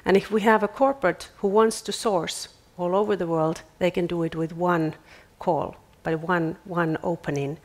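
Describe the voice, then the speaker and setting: Woman in her forties, conference stage pitch, measured delivery, clip mic. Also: steady tone. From Finland. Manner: measured, repeats words at restarts. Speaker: startup accelerator CEO.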